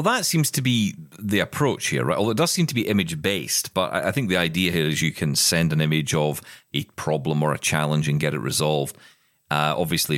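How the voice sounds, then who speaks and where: A man's voice: very deep voice, fairly bright tone, no echo, clean studio sound. A British man co-hosting a podcast, chatting enthusiastically about tech news with frequent restarts. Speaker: podcast co-host. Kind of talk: casual tech news chat.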